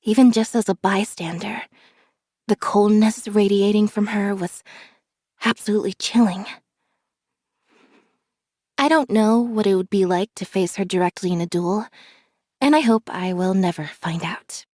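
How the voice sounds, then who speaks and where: A woman voicing a reserved, observant young magician's assistant in a video game, speaking in a calm, measured deadpan. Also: medium pitch, no room sound, clean studio sound, close to an everyday voice. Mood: calm, detached. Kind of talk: scripted character voice lines.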